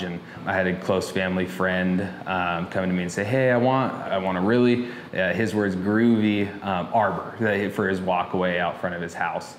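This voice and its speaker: A young man in his twenties, a welding shop owner, talking in a relaxed, easygoing documentary interview. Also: sits low, a little hiss or hum. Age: 20s. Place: indoor fabrication shop office. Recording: roomy shop echo, music under.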